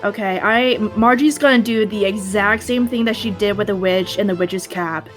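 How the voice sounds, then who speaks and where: A woman's voice: medium pitch, moderate pace. A woman chatting casually as a player at a tabletop game. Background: soft music.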